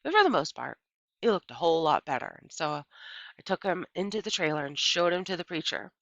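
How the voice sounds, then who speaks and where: A woman's voice: lowish voice, sing-song, fairly quick, vocal fry, fairly bright tone, no echo. A woman narrating a children's book expressively in a dry, slightly dull home recording. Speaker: story reader.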